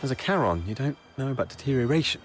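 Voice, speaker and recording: An actor playing a young town escort guard in a video game, anxious, chatty and world-weary but soft-hearted, explaining things conversationally. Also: male voice, medium pitch, expressive, quick pace, soft background music, natural voice. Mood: earnest, uneasy.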